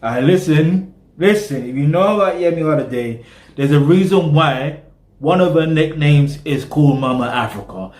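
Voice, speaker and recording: A man's voice: on the high side, normal speed, a little mellow, echoey room, slight background noise. A British man, casually chatting through a music reaction video and rambling with lots of "you know what I mean". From Britain.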